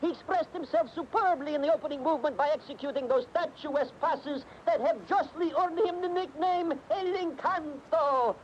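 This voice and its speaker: A man acting as a grandiloquent bullfight critic on a broadcast in a radio play, pompous, measured and rhapsodic. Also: very high-pitched. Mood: rapturous praise. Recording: muffled, hissy radio broadcast.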